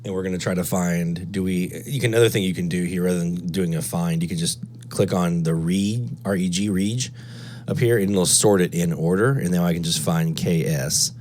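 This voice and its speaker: Deep-voiced man walking through a tutorial. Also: normal speed, a little mellow, slight background noise. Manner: thinks aloud, step by step. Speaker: presenter of a radio DXing tutorial. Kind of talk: casual screen-walkthrough tutorial.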